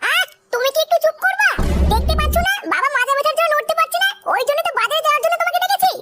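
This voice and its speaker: A performer voicing a protective, sharp-tongued village daughter-in-law in a comedy cartoon, with loud noise behind. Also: very high voice, animated, racing, soft background music, heavily acted. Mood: indignant, scolding. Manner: respectful to elder, sharp-tongued scolding.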